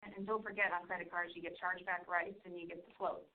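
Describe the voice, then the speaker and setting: A woman speaking as a company executive, explaining things in a businesslike, measured corporate way on a conference call. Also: lowish voice, flat delivery. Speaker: bank executive on an earnings call. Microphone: conference call line, away from mic. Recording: distant, phone-like conference call audio.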